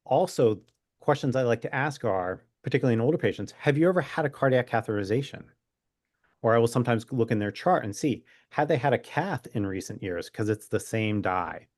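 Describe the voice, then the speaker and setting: A man's voice: medium pitch, lively intonation, fairly quick, a little mellow, no room sound, studio quality. A man speaking as a physician guest expert in an interview, explaining in an informal, conversational way with wry humor.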